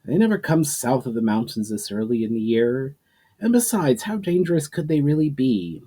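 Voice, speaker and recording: A man's voice: mid-range voice, lively intonation, moderate pace, dark tone, breathy edge. A young hunter read by a man speaks with casual self-assurance in a storybook tale. He sounds dismissive, in an everyday voice a little above the narration.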